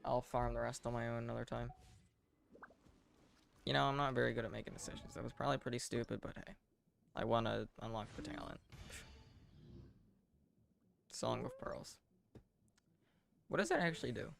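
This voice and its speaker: A man giving casual live game commentary in a deadpan, self-deprecating way, muttering asides to himself. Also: mid-range voice, a little fast, creaky, long pauses, faint music behind. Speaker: game streamer.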